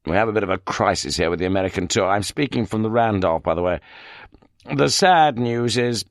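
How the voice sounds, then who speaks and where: Man voicing a curt ironic chief inspector, low voice, dry close sound. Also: expressive, brisk, dry recording. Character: irascible, dry middle-aged male chief inspector.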